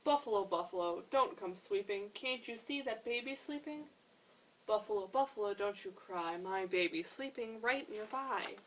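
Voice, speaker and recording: A woman's voice: mid-range voice, animated, normal speed, a bit bright, a little hiss or hum, lightly acted, low-quality recording. A woman voicing a protective mother in a storybook lullaby, chanting her pleas in rhythm. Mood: chanting, pleading. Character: protective mother shushing animals in lullaby tale.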